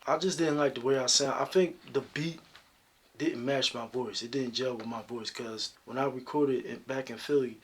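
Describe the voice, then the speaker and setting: A man talking in a deep, slightly husky voice. He answers interview questions softly and at an easy pace, with lots of fillers. His pitch sits in the middle for a man. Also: normal speed. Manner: soft-spoken, relaxed, with many fillers. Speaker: local singer guest.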